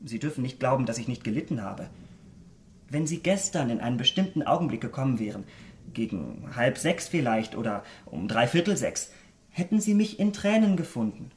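An actor playing a vain, callous young aesthete in a German radio drama, eloquent and theatrical. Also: male voice, mid-range voice, lively intonation, moderate pace, natural voice. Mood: impassioned. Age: young adult.

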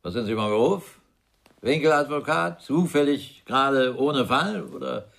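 A man's voice: mid-range voice, unhurried, gravelly, fairly bright tone. An actor playing a bitter, sarcastic older father who blusters scornfully in a German radio crime drama. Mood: sardonic, mocking. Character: sardonic, bitter, well-off father, widowed businessman.

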